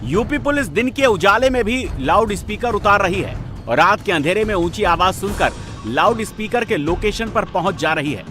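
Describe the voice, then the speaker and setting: A man's voice: high-pitched, expressive, normal speed, pushed and bright, faint music behind. A man reading a dramatic scripted TV news voice-over in a punchy, emphatic way. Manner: punchy, emphatic, repetitive phrasing. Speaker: news reporter narrator.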